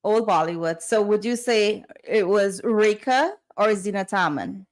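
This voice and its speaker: A woman's voice: medium pitch, measured pace. A woman hosting a conversational interview. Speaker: interview host.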